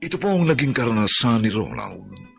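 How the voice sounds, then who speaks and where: A man's voice: mid-range voice, huge pitch swings, brisk, gravelly, fairly bright tone, husky, soft background music. A Filipino man narrating a radio drama introduction in a solemn, theatrical scripted style.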